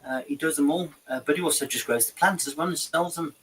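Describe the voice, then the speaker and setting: A man's voice: a little high, fast talker, fairly bright tone, husky, rough recording. A man hosting a show, chatting in a rambling, repetitive way about a market visit. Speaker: show host. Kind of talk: casual studio chat.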